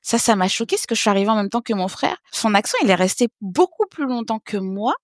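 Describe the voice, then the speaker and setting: A woman in her thirties from French Guiana, a podcast guest telling stories in a lively way, laughing and acting out what others said. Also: medium pitch, quick pace, dry recording, clean studio sound. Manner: lively, laughing, acts out other people's remarks. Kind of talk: casual interview storytelling.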